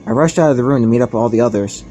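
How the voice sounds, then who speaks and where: A man's voice: medium pitch, very fast, noisy background, lightly acted. A man playing an earnest, bewildered ordinary teenage boy who narrates his own ordeal in an anime game fandub. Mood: puzzled. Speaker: protagonist and narrator. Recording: music behind the voice.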